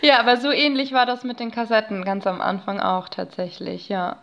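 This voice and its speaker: A woman's voice: a little high, little pitch movement, a little fast, dull audio. A woman speaking as a podcast guest, explaining things in casual interview chat.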